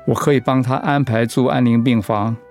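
A man playing a serious, measured doctor explaining things gravely in a radio drama. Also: medium pitch, steady tone, a little mellow, faint music behind, natural voice.

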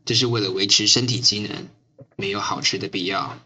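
A man's voice: on the low side, fairly even intonation, brisk, sharp tone, low-quality recording. A man playing a stern, coldly logical riot-squad captain in an amateur radio drama, speaking in curt commands.

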